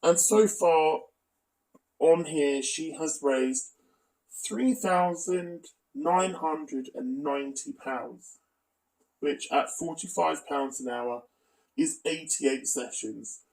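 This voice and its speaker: A man's voice: fairly high pitch, slow pace, vocal fry, darkish tone, recorded from a distance, poor audio. A man narrating a scripted exposé as a video commentator, with asides and a critical, accusatory manner.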